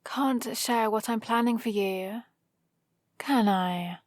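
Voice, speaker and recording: A British woman playing a proud, scheming supervillain with a soft spot, in a teasing, intimate ASMR roleplay. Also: a little high, slow pace, no room sound, studio quality. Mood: reluctant concern. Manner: teasing, sardonic, casually conversational. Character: proud scheming female supervillain, gruffly caring for a rescued hero.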